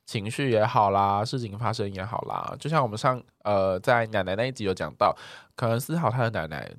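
A man's voice: lowish voice, fairly quick, fairly bright tone, no echo, studio quality. A man with a Taiwanese Mandarin accent chatting casually and expressively as a podcast co-host, with occasional English words mixed in. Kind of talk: casual chat podcast, reading listener replies.

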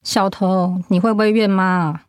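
A woman's voice: medium pitch, steady tone, unhurried, darkish tone, clean tone, no echo, clean studio sound, lightly acted. An actress playing a loving but resolute middle-aged mother in a radio drama. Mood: apologetic, resolute.

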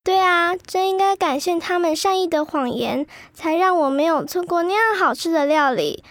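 Super high chatty cheerful little girl, kids radio play, dry close sound. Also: moderate pace, no echo. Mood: lively, sharing. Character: chatty cheerful Filipino-Taiwanese schoolgirl, proud of Philippine food. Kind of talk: children's educational radio drama.

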